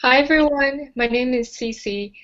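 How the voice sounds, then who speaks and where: A woman from China, a university student introducing herself on a panel, soft-spoken. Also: high voice, unhurried. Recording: dull, lo-fi audio.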